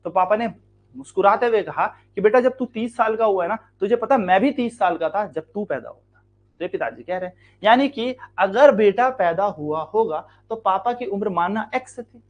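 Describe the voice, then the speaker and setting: High-voiced Indian man teaching maths. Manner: explains step by step, asks the students questions and answers them; repeats key lines and stretches words out to stress them. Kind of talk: exam-prep maths lesson.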